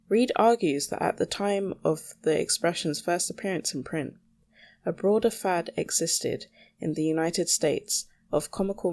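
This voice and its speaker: A woman's voice: lowish voice, vocal fry, a little mellow. A woman rambling casually as a solo podcast host, with a self-deprecating, mildly sweary way of talking.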